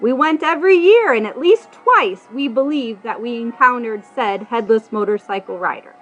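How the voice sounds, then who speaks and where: A woman with an American accent answers as a casual podcast guest. Her voice is high and swings up and down a lot, on slightly dull audio with music behind. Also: animated, normal speed. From Ohio, United States.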